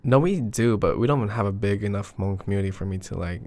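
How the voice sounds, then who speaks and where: Young man, lower voice, casual. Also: fast, a little mellow. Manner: informal, slangy, teasing. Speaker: podcast host. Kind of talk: casual podcast chat.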